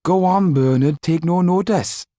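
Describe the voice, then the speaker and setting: A man voicing a cheeky, irreverent male servant with a mocking, animated manner. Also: high voice, measured pace, no echo, clean studio sound, voice pushed up. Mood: defiant.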